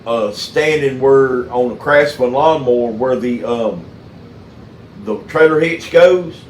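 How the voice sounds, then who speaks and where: A middle-aged man with a Southern US accent, chatting casually and telling stories in a folksy, halting way. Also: medium pitch, darkish tone, roomy sound, a little hiss or hum, poor audio. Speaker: mechanic and channel host. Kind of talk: casual phone chat with storytelling.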